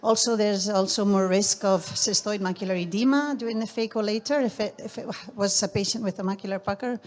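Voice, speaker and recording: A woman's voice: medium pitch, a little fast. A female eye surgeon from Spain on a conference panel, speaking earnestly and often restarting her sentences.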